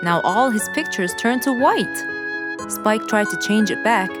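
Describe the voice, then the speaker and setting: A woman's voice: mid-range voice, animated, a little slow. A woman narrating a scripted story for children. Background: loud music.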